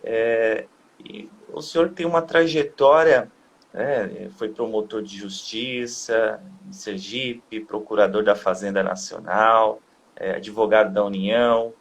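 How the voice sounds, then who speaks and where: A man's voice: fairly low pitch, takes it slowly, gravelly. Male host, formal, deferential, drawn out, faint noise. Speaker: host of a live stream. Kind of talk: live-stream interview, respectful introduction.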